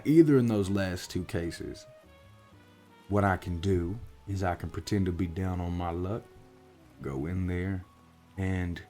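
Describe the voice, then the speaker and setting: A man voicing a gruff, world-weary orc private investigator in a soft-spoken roleplay, with a folksy, wry drawl. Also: low-pitched. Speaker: voice actor in roleplay audio.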